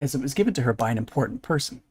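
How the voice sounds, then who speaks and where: A man's voice: mid-range voice, lively intonation, very fast, a little mellow, husky. A man streaming a visual novel, reading the story aloud and putting on voices for the characters. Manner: puts on character voices for dialogue. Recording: music under the voice.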